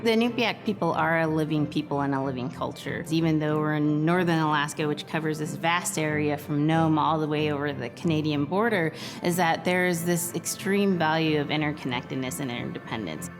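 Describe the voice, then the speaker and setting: A woman's voice: low voice, fairly quick, hardly any pauses. A woman in a documentary interview, explaining in an earnest way. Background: music.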